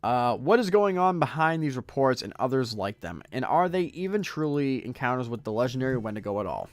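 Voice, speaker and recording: A hoarse man hosting a podcast stream, reading stories aloud and rambling to his chat. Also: medium pitch, sing-song, brisk, no echo. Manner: rambling, talks to chat while browsing. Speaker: podcast host.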